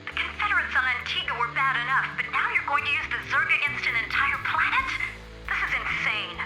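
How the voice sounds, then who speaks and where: High-voiced, fiery lieutenant. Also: female voice, big pitch swings, a little fast, poor audio. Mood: outraged. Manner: urgent, forceful. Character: fiery, outspoken young female military lieutenant. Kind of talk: dramatic video game voice acting. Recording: music behind the voice.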